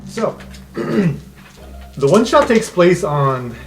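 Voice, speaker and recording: A man working as game master, telling an improvised fantasy scene dramatically with deliberate pauses. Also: sits high, very animated, vocal fry. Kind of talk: improvised scene-setting narration for a tabletop game. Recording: background music under the voice.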